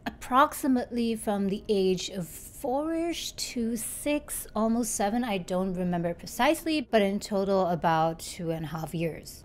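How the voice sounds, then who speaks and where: A woman in her thirties from Germany, chatting to camera and explaining things step by step in a bright living room with a desk microphone. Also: medium pitch. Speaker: YouTuber. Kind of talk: casual talk to camera. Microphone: desk microphone in front.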